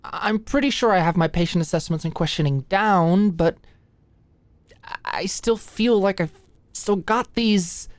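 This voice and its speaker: A woman's voice: medium pitch, measured pace, no room sound, lightly acted. Anxious, rambling young student acted by a woman. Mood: nervous, stressed. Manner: rambling, stammering, apologetic. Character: anxious, flustered young female medical student, chatty study buddy. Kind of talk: ASMR roleplay acting.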